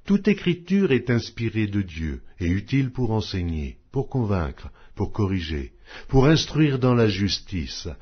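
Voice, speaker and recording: A man reading scripture from a script as an audio Bible narrator, in a solemn, declamatory manner with measured pauses. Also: on the low side, very animated, breathy edge, muffled recording.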